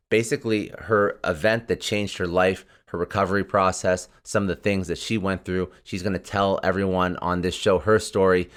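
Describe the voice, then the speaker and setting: A man hosting an interview podcast, reading his introduction in a measured way. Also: on the low side, fairly bright tone. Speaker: podcast host. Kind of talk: scripted intro.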